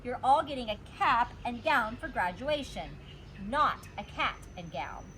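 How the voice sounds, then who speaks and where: A patient, slightly weary kindergarten teacher from a storybook as a woman reads her. She corrects firmly and deliberately in a fairly high voice. Some noise is audible behind her. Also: expressive, a bit bright, low-quality recording. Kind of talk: children's storybook character reading.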